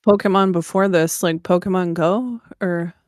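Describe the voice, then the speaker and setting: A man with a high-pitched, smooth voice chats as a podcast co-host. He sounds curious and agreeable, in a very dry, close recording. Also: fairly even intonation, moderate pace, no room sound. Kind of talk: casual podcast chat.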